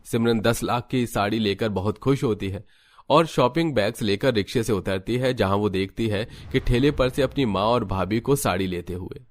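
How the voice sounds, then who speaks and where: A man's voice: fairly low pitch, normal speed. A man narrating a scripted cartoon family story.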